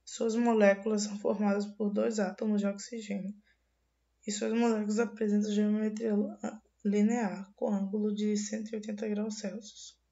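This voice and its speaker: A hoarse young woman with a cold gives a student class presentation, her voice dark and mellow. She reads steadily from slides in a flat, even tone, often restarting words. The recording is dull and lo-fi. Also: medium pitch, normal speed. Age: young adult. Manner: reading slides with frequent restarts.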